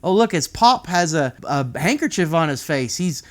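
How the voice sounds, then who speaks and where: A man's voice: fairly high pitch, very animated, normal speed. A man reading a picture book aloud to children, with chatty, improvised asides and childlike enthusiasm.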